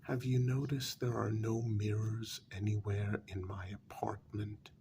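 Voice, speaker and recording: Man playing a slow, gravelly, soft-spoken jaded vampire. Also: on the low side, level tone, slight background noise. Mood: bitter, sardonic. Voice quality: soft, gravelly, breathy half-whisper. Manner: slow, deliberate, weary sarcasm. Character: jaded, irritable male vampire hiding a hideous face. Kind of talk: soft-spoken ASMR role-play monologue.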